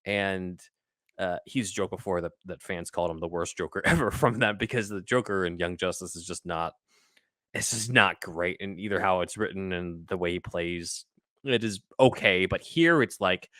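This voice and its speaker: A man with a deep voice co-hosts a casual pop culture podcast. He talks in a rambling, enthusiastic fan's manner. The sound is dry, with hardly any room to it. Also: sharp tone, no room sound. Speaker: podcast co-host.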